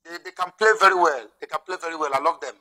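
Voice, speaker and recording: Cheery nigerian guy in his 30s on a video call, high bright voice, says everything twice. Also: rapid-fire. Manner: repeats each phrase, cheerful and friendly. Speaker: video call chat partner. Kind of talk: casual video call chat. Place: indoors at home.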